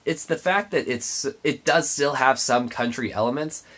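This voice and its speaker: A man's voice: medium pitch, moderate pace, fairly bright tone. A man with a congested, nasal voice giving an emphatic, opinionated album review as the host. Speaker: music reviewer, show host. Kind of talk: spoken album review to camera.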